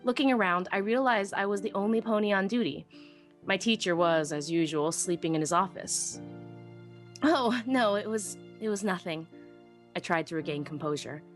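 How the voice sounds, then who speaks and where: A woman's voice: medium pitch, moderate pace, natural voice. Woman as a wistful young pony narrator over music. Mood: flustered, eager. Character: shy, self-deprecating young female pony technician. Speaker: first-person narrator. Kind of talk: dramatic live audiobook reading.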